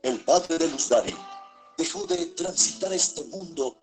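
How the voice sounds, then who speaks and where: A man narrating a scripted radio drama in a solemn, deliberate manner. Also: fairly high pitch, lively intonation, a little mellow, faint music behind.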